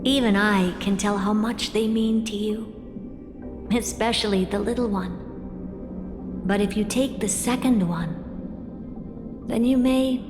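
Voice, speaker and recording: A woman playing an aloof, all-knowing genius who gives grave counsel in a measured, cryptic way in a video game. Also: mid-range voice, natural voice. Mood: frank, gentle. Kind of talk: dramatic video game voice acting. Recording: very echoey long reverb, music behind.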